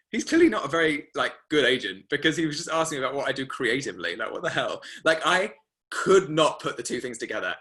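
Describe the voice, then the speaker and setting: A young man hosting a class, telling a rambling story full of fillers and lively quoted asides. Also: a little high. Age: young adult. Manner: rambling, filler-heavy, animated retelling. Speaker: masterclass host. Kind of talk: casual storytelling to an online class.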